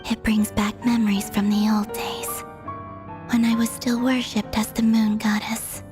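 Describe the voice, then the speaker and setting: Ancient moon goddess for a game, voiced by a woman: soft, high, composed and quietly weary, music behind. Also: little pitch movement, unhurried, close to an everyday voice. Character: serene, weary, centuries-old moon goddess, quietly resolute. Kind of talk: video game voice acting.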